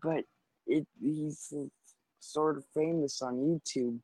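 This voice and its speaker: Young male co-host, relaxed dark tone, unhurried pace, casual teasing banter. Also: on the high side, poor audio. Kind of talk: casual chat.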